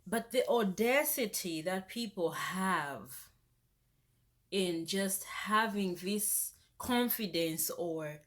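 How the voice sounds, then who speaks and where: A young woman, vlogging in a casual, animated rant that turns indignant. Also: medium pitch, slow. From Zambia. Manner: animated, indignant, rises sharply when exasperated. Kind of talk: casual ranting vlog.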